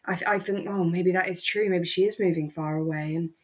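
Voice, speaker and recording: A woman's voice: on the low side, steady tone, fairly quick, husky. A woman co-hosting a casual podcast chat, musing and wondering aloud in a reflective way. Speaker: podcast co-host. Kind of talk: casual discussion of a radio drama.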